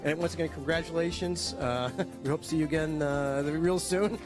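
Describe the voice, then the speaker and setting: A man's voice: on the high side, normal speed, music in the background. Man giving a casual congratulatory speech. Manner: casual, with many "uh" fillers. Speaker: guest speaker presenting an award.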